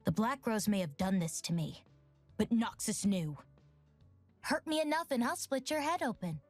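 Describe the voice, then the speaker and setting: A woman's voice: mid-range voice, expressive, moderate pace, close to an everyday voice. Woman acting as hardened teen warrior girl, blunt, sullen, vengeful, video game character, background music. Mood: hardened, defiant. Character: hardened, vengeful, impatient sixteen-year-old armored warrior girl.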